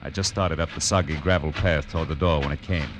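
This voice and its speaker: An American man acting a tough, wry, world-weary private detective in a 1940s radio noir, clipped and deadpan. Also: very deep voice, fast talker, dull audio, heavy background noise. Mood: wry narration. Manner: clipped, hard-boiled, deadpan. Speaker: lead actor and narrator of a detective serial. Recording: old radio drama with background music.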